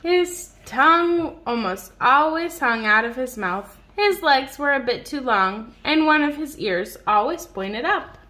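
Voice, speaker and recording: A woman reading a picture book aloud to a young child in an expressive, child-directed way, drawing out words. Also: high voice, a little slow, hardly any pauses. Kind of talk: read-aloud storytelling to a young child, with simple questions. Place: indoors at home on a dark wood floor. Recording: noisy home phone recording.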